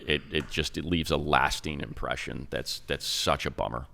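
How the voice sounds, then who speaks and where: A man co-hosting a sports talk show, running through stats quickly with restarts and asides. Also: a bass voice, steady tone, creaky, sharp tone. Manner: fast, stat-heavy, self-interrupting. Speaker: radio play-by-play announcer, co-host.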